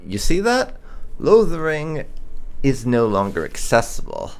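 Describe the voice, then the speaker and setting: A man's voice: mid-range voice, wildly swooping pitch, measured pace. A man hosting a gaming channel, giving casual playthrough commentary and musing aloud. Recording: faint background game noise.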